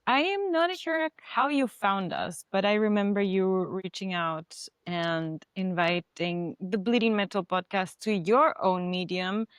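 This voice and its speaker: Woman podcast host, upbeat, resonant clean voice, mid pitch. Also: a little slow, clean tone, no room sound, studio quality. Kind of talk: casual interview chat.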